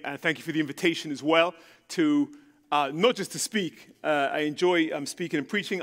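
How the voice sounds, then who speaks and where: A man's voice: a little high, fairly bright tone. London man preaching in a reverberant church. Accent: London English. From London, England. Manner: conversational, self-deprecating, with ums and repetition. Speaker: guest preacher. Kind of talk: sermon. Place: church stage with audience. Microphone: handheld microphone. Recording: long reverb in a church hall.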